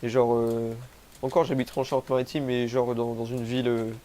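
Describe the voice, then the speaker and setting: A man's voice: mid-range voice, level tone, a little fast, warm tone, slight background noise. A young man from France with a cold, rambling through gameplay commentary, self-mocking and using crude slang. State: has a cold, sniffly. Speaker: gaming youtuber. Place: home, rural.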